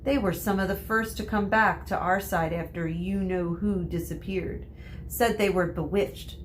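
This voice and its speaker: A woman's voice: on the low side, fairly even intonation, unhurried, slight background noise, natural voice, poor audio. A woman reading the part of a young schoolboy in a storybook, frank, plain-spoken and a little grumpy. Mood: matter-of-fact.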